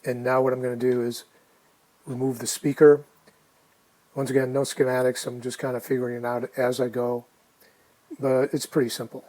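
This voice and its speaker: A man casually narrating a hands-on restoration project, thinking aloud in an informal, unhurried way. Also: medium pitch, little pitch movement, mellow, poor audio.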